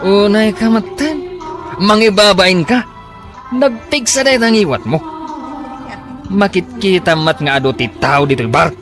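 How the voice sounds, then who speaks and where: A man's voice: high-pitched, expressive, a little slow, long pauses, over music. An actor playing a cocky, defensive young womanizing boyfriend in a Filipino radio drama, with a Filipino accent. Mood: defensive, annoyed. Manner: defensive, exasperated, self-satisfied. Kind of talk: Philippine radio drama acting.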